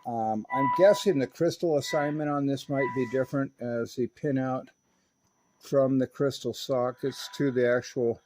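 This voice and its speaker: A man's voice: medium pitch, fairly even intonation, moderate pace, darkish tone, a little hiss or hum. A man giving an informal narrated repair demonstration in a conversational, matter-of-fact and opinionated way. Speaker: radio repair hobbyist, video host.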